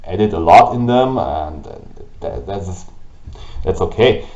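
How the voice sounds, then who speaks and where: A man with a German accent, whose first language is German, hosting a gaming channel and ranting slowly and haltingly about it to camera. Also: low voice, animated, darkish tone. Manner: halting, with restarts and pauses. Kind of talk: frustrated rant to camera. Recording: roomy, dull lo-fi audio.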